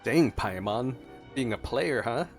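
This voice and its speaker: A man's voice: mid-range voice, animated, slow. A man doing casual, playful gameplay commentary as a streamer, rambling as he thinks aloud. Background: game music.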